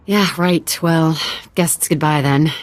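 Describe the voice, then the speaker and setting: A woman's voice: deep voice, a little slow, no room sound. A woman playing a tough, frank frontier underworld figure in a video game, speaking in a casual, plain-spoken way. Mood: resigned.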